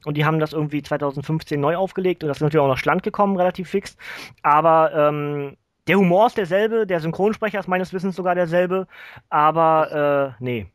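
A man chats casually as a podcast host. His voice sits fairly high and he talks very quickly. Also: no echo, clean studio sound. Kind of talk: casual nerd chat.

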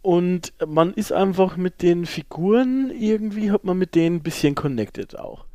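Higher-voiced man, enthusiastic podcast chat. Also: moderate pace, dark tone, dry recording, studio quality. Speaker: podcast co-host.

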